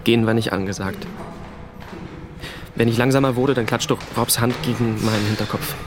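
A man's voice: medium pitch, steady tone, normal speed, over music, close to an everyday voice. An actor playing a sullen, insecure teenage boy in a naturalistic radio drama, speaking in a hesitant, colloquial way. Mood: tense recounting. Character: sullen, insecure fourteen-year-old boy, younger brother of a soldier.

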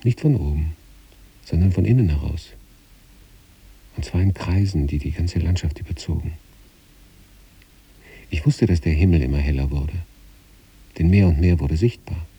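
Male narrator for literary prose, very dark and low timbre, measured, contemplative read. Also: husky, muffled recording. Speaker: narrator reading a literary story. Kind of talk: scripted literary narration in the first person, as letters.

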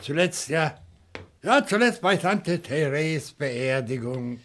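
A man's voice: a little high, lively intonation, slow pace, a bit bright. An actor playing a dignified, wary professor in his seventies, speaking formal, articulate German radio-drama lines. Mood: friendly, courteous. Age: 70s. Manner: articulate, formal, indignant pride. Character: dignified, wary seventy-year-old French professor living among books.

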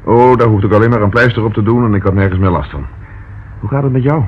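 An actor in a Dutch radio play playing a calm, protective police inspector, measured and firm. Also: male voice, lowish voice, natural voice. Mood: gentle, earnest. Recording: dull old radio recording with noise.

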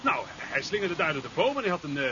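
An actor playing a nosy, excitable, indignant villager with an animated, accusing manner in a 1970s radio drama. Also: male voice, on the high side, fast talker. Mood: excited. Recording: muffled 1970s radio drama recording, faint noise.

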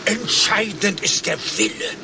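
An actor playing a long-winded, doggedly optimistic former referee in a satirical German radio play, telling things in an emphatic, declamatory way. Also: male voice, high voice, expressive, a little slow, gravelly, heavy background noise, heavily acted. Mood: resolute.

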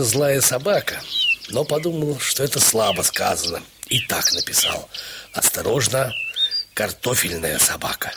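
An actor playing a wry, bemused dacha dweller who tells his humorous story with expressive, mock-solemn delivery. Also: a little high, noisy background. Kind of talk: dramatised literary storytelling with acted dialogue.

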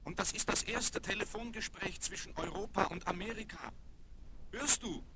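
An actor playing a famous young American aviator, modest and boyish, in a German children's radio play. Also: male voice, high-pitched, moderate pace, gravelly. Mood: excited, affectionate. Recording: noisy, crackly vinyl record transfer.

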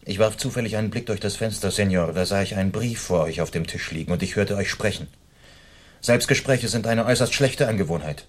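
An actor playing a bold, chivalrous masked outlaw in a German radio play, with a courtly, mocking and commanding manner. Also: male voice, fairly low pitch, brisk, husky. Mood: calm menace.

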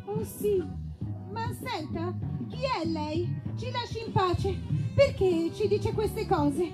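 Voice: extremely high pitch, takes it slowly, over loud music. A performer voicing a whiny, melodramatic young woman suffering in the heat in a comic skit. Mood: indignant. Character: two overheated, gullible hot young women in a skit. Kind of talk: exaggerated comic skit acting.

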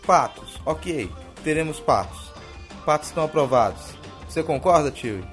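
A Brazilian man narrates as a podcast host in a fairly high voice. His delivery is scripted but informal, playful and wry. Soft music runs underneath. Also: animated, moderate pace. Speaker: teacher.

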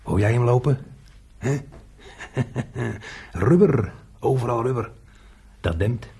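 An extremely husky, middle-pitched working man in a radio play. He is talkative and hot-tempered, with a crude, emphatic way of putting things. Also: lively intonation, slow, mellow, heavily acted. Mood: wry storytelling. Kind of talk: naturalistic Dutch radio play acting.

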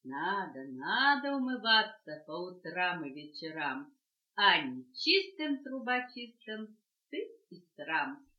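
Lady putting on a bossy talking washbasin guy, loud and scolding, dull old tape sound. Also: very animated, takes it slowly. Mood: approving. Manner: scolding, booming declamation. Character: stern grand male talking washbasin commander. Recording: echoey dull cassette tape.

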